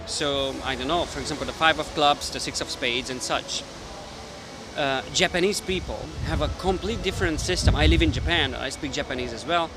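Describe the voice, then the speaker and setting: A man's voice: fairly high pitch, fairly quick. A man with a Hungarian accent, a mentalist explaining his card tricks casually to the camera while thinking aloud. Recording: street noise with passing traffic.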